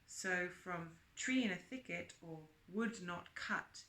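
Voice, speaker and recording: In an echoey room, a woman reads aloud with a bright, forward voice that sits a little low. She presents the text expressively and emphatically, slipping into chatty asides to her listeners. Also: slow. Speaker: reader and presenter of book extracts. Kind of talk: read-aloud with chatty asides and character quotes. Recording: roomy, echoing sound.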